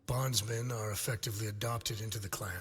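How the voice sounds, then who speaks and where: Measured, low-voiced military intelligence officer. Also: male voice, fairly even intonation, fairly bright tone, breathy edge, in a large hall. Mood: measured, informative. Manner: formal, explanatory. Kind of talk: game cutscene dialogue.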